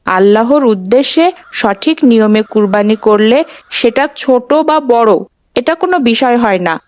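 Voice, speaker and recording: A woman's voice: a little high, animated, measured pace, dry recording. A woman voicing an earnest, tender-hearted young boy in a children's Islamic cartoon. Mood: gently admonishing.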